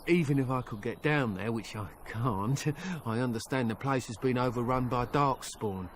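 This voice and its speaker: An actor playing a nervous, chatty merchant who rambles and wheedles over game music. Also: male voice, mid-range voice, moderate pace, a little hiss or hum, natural voice. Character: nervous chatty male merchant, middle-aged. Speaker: merchant character.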